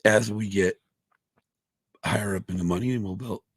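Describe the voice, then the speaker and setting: A man co-hosting a casual podcast, hoarse from allergies, who rambles, restarts and swears a lot. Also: fairly low pitch, steady tone, fairly quick, no echo. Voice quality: gravelly, husky. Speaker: podcast co-host. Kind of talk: casual chat.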